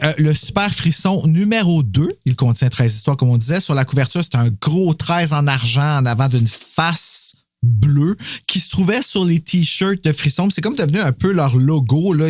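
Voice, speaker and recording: A man's voice: a little high, a little mellow, dry recording, studio quality. A man with a Quebec French accent hosting a podcast in casual, jokey, rambling chat full of slang. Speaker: podcast host.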